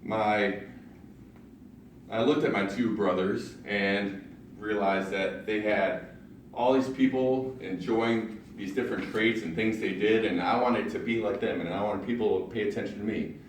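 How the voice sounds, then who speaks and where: Deep-voiced man in an echoey room. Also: steady tone, a little slow, far from the mic, a little hiss or hum. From Ohio, USA. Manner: self-deprecating humor, storytelling with punchlines. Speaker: speaker at a public speaking club. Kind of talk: prepared personal speech. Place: large meeting room in a library. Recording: very echoey room, recorded from afar.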